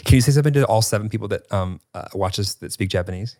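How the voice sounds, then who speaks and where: A man's voice: fairly low pitch, very fast, dry recording, studio quality. A man co-hosting a podcast in casual chat, joking and playful. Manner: joking, playful, animated delivery. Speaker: podcast co-host.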